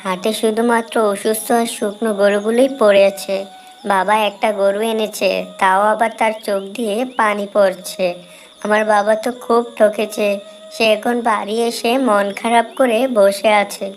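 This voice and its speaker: A woman plays a proud, well-off child in a children's cartoon. The voice is mid-pitched and delivers scripted moral-tale dialogue, with faint noise behind it. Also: little pitch movement, normal speed, close to an everyday voice. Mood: dejected.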